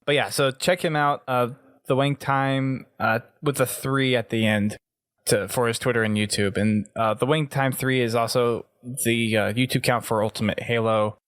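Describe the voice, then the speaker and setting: A man hosts a gaming podcast in casual, conversational talk. His voice sits at a middle pitch. The sound is dry and close, with very little room in it. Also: steady tone, moderate pace, fairly bright tone, no echo. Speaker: podcast host.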